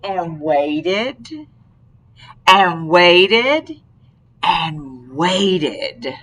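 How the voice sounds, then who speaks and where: A woman reading a picture book aloud to a young child, expressive and prompting with questions. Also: lowish voice, extremely slow.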